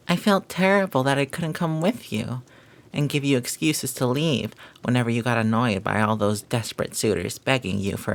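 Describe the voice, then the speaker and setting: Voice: mid-range voice, vocal fry. A performer playing a flirty, devoted maid who teases and gossips in formal, flowery phrasing, in a scripted romantic audio roleplay. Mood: concerned, doting.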